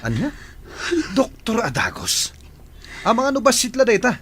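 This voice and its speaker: An actor playing a gruff, nosy head of a household who questions and gives orders in a radio drama. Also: male voice, very high-pitched, wildly swooping pitch, a little slow, a bit bright, full character voice. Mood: fussing. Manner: questioning, commanding.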